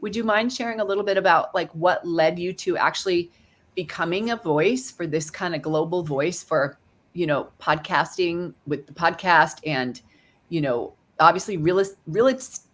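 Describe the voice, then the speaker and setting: Low-voiced woman hosting with enthusiasm. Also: moderate pace. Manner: enthusiastic, laughs often, warm praise of guest. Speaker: podcast host. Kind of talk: casual interview hosting.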